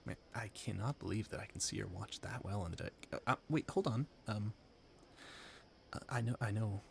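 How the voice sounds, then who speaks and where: A man playing an earnest, dreamy young gentleman inventor in a romantic audio roleplay, formal and wistful in manner. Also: fairly low pitch, normal speed, gravelly, slight background noise. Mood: startled, urgent. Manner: wistful, formal, flustered stammering when startled.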